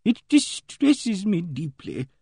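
A man's voice: sits high, sing-song, takes it slowly, creaky, warm tone, breathy edge, dry recording, clean studio sound, voice pushed up, exaggerated voice. A man voicing a genteel, pious elderly spinster in an audiobook, hesitant, easily shocked and agitated. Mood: agitated distress. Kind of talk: audiobook character dialogue.

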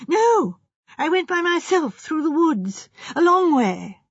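Woman acting an anxious, high-pitched, stammering young man. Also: lively intonation, normal speed, darkish tone, no room sound, dull audio. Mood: flustered. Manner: stammering, ladylike, pleading. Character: anxious, distraught, dreamy young male architect.

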